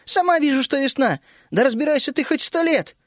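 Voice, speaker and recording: Мужчина-чтец озвучивает обидчивую вспыльчивую девочку, говоря с ней резко и с негодованием. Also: male voice, extremely high pitch, expressive, normal speed, no room sound, clean studio sound, pitched above the performer's own voice. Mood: indignant. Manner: indignant, snappy. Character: touchy quick-tempered young girl.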